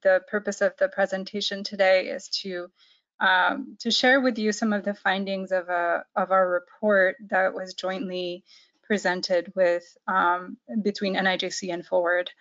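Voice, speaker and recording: A young woman walks viewers through a policy report on a video call. She talks haltingly, with frequent fillers and restarts. The call audio is dull and lo-fi. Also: medium pitch, level tone, fairly bright tone. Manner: hesitant, many "um"s and restarts. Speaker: policy analyst presenting a report. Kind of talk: webinar presentation.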